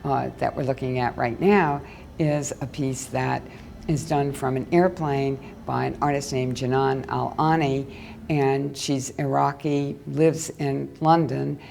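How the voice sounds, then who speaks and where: A woman's voice: extremely low pitch, fairly even intonation, unhurried, vocal fry, darkish tone, a little hiss or hum. A woman curator explaining an exhibition in an interview. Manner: explanatory, gallery-tour talk.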